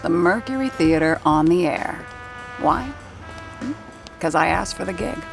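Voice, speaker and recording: An actress voicing a wry, sharp-tongued woman who does radio sound effects in a 1930s theater, narrating in character in an audio drama. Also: fairly low pitch, expressive, measured pace, creaky, over music. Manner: wry, sardonic.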